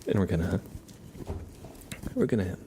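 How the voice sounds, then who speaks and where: A man hosting an ASMR livestream, chatting casually with viewers in a soft, breathy whisper, rambling and gently playful. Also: sits low, animated, a little slow, very noisy.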